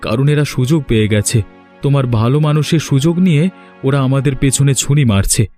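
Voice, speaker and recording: Guy playing serious cartoon landlord's son, dark mellow voice, earnest, light music underneath. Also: mid-range voice, fairly quick, close to an everyday voice. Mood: accusing, worried. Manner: earnest, grave. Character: landlord's grown son, serious, suspicious of villagers. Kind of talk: animated cartoon dialogue. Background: background music.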